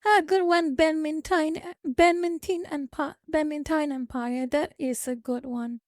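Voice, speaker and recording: A woman's voice: high-pitched, fairly even intonation, measured pace, a little mellow, dry recording, clean studio sound. A woman streaming live, chatting casually with viewers, reading their comments and lecturing them. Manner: chatty, lecturing, reads chat aloud. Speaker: VTuber streamer.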